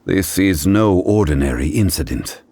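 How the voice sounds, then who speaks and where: A man playing a composed, learned gentleman in an anime-style video game, speaking in a measured, formal, grave manner. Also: low-pitched, lively intonation, husky, no room sound, exaggerated voice. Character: composed, learned gentleman consultant, calm and dignified.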